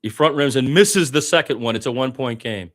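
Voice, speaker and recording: A man's voice: mid-range voice, a little fast, a bit bright. A man doing live radio-style basketball play-by-play as a partisan fan, with exasperated asides about his team.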